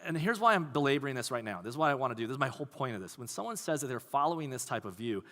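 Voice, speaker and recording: A man preaching a sermon as pastor, talking in a conversational, animated way. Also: mid-range voice, racing. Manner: conversational, animated, acts out quoted voices. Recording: long hall reverb.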